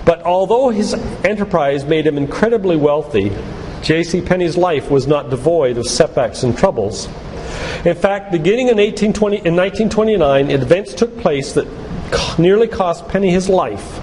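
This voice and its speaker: An older man giving a sermon-like lecture in a church, speaking in an earnest, deliberate, emphatic way with repetition. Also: fairly high pitch, lively intonation. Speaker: doctor and guest church speaker. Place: church, live talk. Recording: echoey hall with loud background noise.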